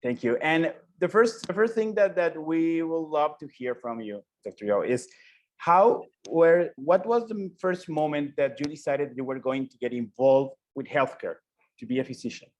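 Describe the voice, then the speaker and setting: Earnest man asking halting questions. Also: on the high side, expressive. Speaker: interview host. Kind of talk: interview questions.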